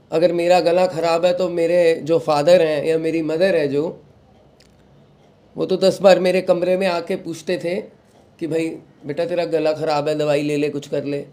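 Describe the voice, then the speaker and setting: A young man, recovering from a sore throat, hosting a devotional talk and giving calm advice to followers while mixing in English phrases. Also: on the high side, takes it slowly. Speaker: satsang host.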